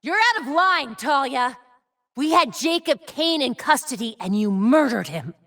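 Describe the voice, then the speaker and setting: A young female vigilante detective for a video game. She is determined and quick-witted, crisp and earnest with dry, wry asides. The sound is close and dry, with no room around it. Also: high voice, big pitch swings, a bit bright, no echo, full character voice. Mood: urgent, defiant. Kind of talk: video game voice acting, short scripted lines.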